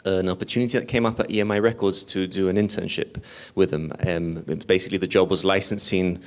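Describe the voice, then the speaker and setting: A man's voice: deep voice, fairly even intonation, fast talker. A man with a slightly whiny edge, chatting casually and self-deprecatingly as a musician being interviewed. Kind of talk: casual anecdotal interview talk.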